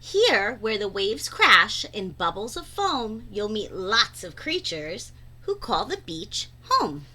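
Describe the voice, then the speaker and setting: A woman reads a picture book aloud for young children with a bright, crisp-edged voice. Her pitch swoops through a lively range, and she takes her time over each phrase like a teacher. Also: takes it slowly, slight background noise. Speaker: read-aloud storyteller.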